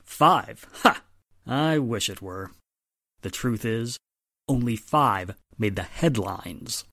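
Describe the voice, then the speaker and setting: Animated, stern man acting a police inspector. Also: fairly low pitch, slow pace, vocal fry, no echo, clean studio sound, heavily acted. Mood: exasperated. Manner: emphatic, stern. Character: exasperated, firm male police inspector. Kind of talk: 1940s radio drama acting.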